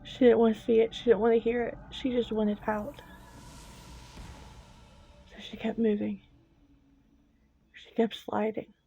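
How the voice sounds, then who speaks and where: A woman tells a suspenseful story at a very slow pace, breaking it into short, halting phrases. Her tone is dark and mellow with an even pitch. The recording is a little dull, with music behind her. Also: vocal fry. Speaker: storyteller, host. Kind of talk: dramatic story narration.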